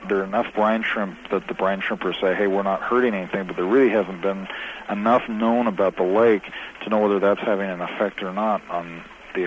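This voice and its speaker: A man with a very deep voice answers interview questions, pausing often with filler sounds. Faint noise sits under his voice. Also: highs cut off. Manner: hesitant, many filler sounds. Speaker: newspaper reporter.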